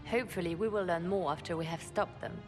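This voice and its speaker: Woman playing a poised, low-voiced fantasy adviser. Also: music in the background, close to an everyday voice. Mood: pensive, determined. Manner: formal, measured, avoids contractions. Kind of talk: dramatic video game dialogue.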